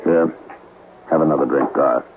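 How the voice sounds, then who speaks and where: An actor playing the worried, sociable owner of a haunted house in an old-time radio drama. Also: male voice, a bass voice, steady tone, moderate pace, over music. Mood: concerned. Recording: muffled, noisy old radio broadcast.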